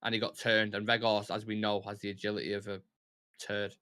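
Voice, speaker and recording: A man's voice: fairly low pitch, level tone, a little fast, bright, forceful tone, smooth. A young man with a Mancunian accent hosting a live football watch-along, chatting and commentating casually in a blunt, sweary, opinionated way.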